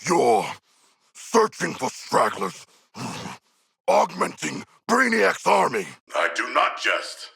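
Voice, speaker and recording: An actor voicing a hulking humanoid shark villain in a video game, erudite and gentle yet proud, speaking in formal, measured, deadpan phrases. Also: male voice, fairly high pitch, creaky, reverberant, full character voice. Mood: grand, intense. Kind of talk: video game character voice lines.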